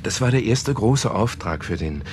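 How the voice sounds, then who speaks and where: An actor plays a man telling a story in a radio play, with a fairly low voice. He is earnest and patient, hedging and halting as he goes, in a natural conversational style. There is some noise on the recording. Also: expressive, natural voice. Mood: patient, hesitant. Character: man haltingly retelling a novel to a sceptical woman.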